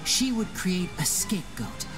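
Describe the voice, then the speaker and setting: A woman's voice: on the low side, sing-song, a little slow. A woman voicing a suave, playful young male stage magician in a video game, with polished, theatrical storytelling. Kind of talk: video game dialogue acting. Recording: game dialogue under music, slightly distant.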